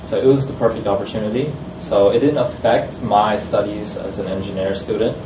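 Male student panelist, low voice, halting delivery; distant mic in a noisy echoey room. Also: fairly even intonation. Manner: hesitant, many "uh" and "um" fillers. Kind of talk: casual panel talk.

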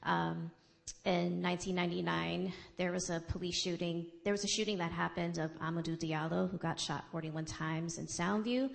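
Woman from the Bronx, low pitch, heavy vocal fry, reflective panel speaker. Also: steady tone, moderate pace. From the Bronx, New York. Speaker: panelist, community co-director. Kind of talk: panel talk.

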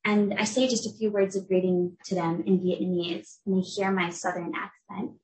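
Young woman giving a research talk, steady and clear, sounds kinda far off in an echoey room. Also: mid-range voice. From United States. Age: young adult. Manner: clear, measured explanatory delivery. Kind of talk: academic lecture.

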